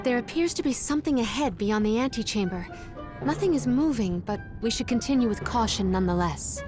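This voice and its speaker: Actress as a high-voiced, formal poetic young woman. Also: lively intonation, close to an everyday voice. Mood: composed. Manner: formal, measured, precise wording. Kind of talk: video game voice acting. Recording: game music behind the voice.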